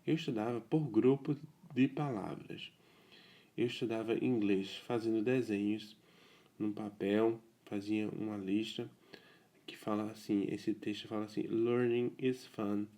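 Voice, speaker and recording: A man teaching English in a casual video lesson, explaining in a relaxed way and often checking in with his viewers. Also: fairly low pitch, measured pace. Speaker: self-taught English tutor.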